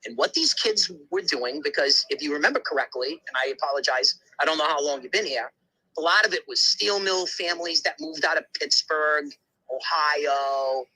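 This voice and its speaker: A man with a husky, slightly rough edge to his voice holds forth in a loose podcast chat. He talks bluntly and emphatically, piling on asides and restarting his sentences as he goes. His tone is bright and forceful. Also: a little high, normal speed, poor audio. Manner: rambling, emphatic storytelling with many asides and restarts; blunt, occasional swearing. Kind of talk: casual conversation.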